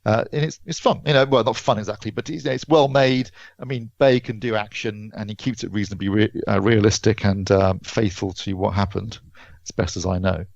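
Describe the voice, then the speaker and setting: A man co-hosting a podcast, chatting casually and haltingly about films, thinking aloud as he goes. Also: lowish voice, steady tone, creaky, a little mellow. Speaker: podcast co-host and film reviewer. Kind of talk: casual film release chat. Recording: dull, roomy lo-fi podcast audio.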